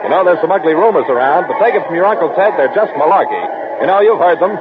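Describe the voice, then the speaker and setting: An actor playing a folksy, excitable baseball announcer calling a game in 1940s radio style. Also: male voice, high-pitched, quick pace, heavy background noise. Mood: folksy, grave. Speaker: baseball commentator. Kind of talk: 1940s radio play-by-play commentary. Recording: old tinny radio, loud crowd roar.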